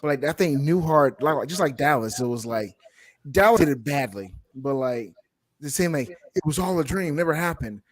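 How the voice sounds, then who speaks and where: A man's voice: fairly high pitch, animated, normal speed. A man in a casual, opinionated chat, blunt and emphatic, repeating words and swearing heavily. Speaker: guest co-reviewer.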